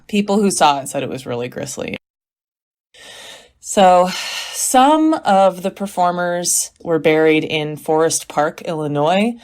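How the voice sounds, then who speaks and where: A woman playing a skeptical, dryly exasperated podcaster, telling tales in casual, matter-of-fact banter. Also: sits low. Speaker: podcast co-host. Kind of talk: casual scripted storytelling banter.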